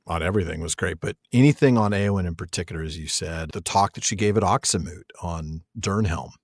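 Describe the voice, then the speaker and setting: A man's voice: low-pitched, brisk. A man hosting a podcast in casual, reflective discussion, enthusiastic and given to repeating words for emphasis. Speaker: podcast host.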